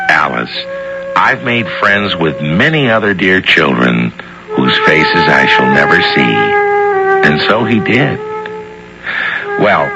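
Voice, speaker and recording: A man's voice: very deep voice, poor audio. A man narrating as host of an old-time radio drama, addressing listeners like a storyteller. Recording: old radio broadcast with loud music.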